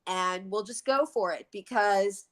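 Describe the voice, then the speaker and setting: Sixties woman co-host from the Main Line, thoughtful and quick to laugh, casual live talk. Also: medium pitch. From Philadelphia Main Line, USA. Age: 60s. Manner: reflective, laughs often, repeats words while thinking.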